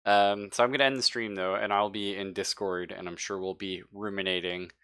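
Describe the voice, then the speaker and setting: Bright, lowish-voiced man chatting as game master. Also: fairly even intonation, a little fast, clean tone. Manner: profane, slangy, playful. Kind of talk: casual chat wrapping up a tabletop game session.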